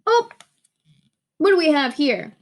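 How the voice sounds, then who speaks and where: A woman with a high-pitched voice leads a read-aloud lesson for young children. She takes her time, explaining in simple words, repeating key words for emphasis in a playful teacher's manner. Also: very animated, slow, a little hiss or hum.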